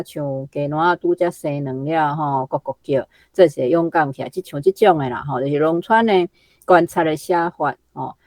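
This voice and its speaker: 低沉的台語女評論者. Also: female voice, sits low, darkish tone, no echo. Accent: Taiwanese Hokkien. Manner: chatty, frequent 吼 tags. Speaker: literary commentator. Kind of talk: casual commentary.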